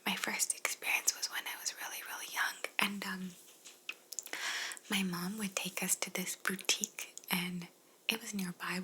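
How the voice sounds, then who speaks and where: A woman whispers in a breathy, very bright and sharp-edged voice. She rambles gently and casually in an ASMR question-and-answer style, with conversational asides. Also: medium pitch, poor audio. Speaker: ASMR video creator answering viewer questions.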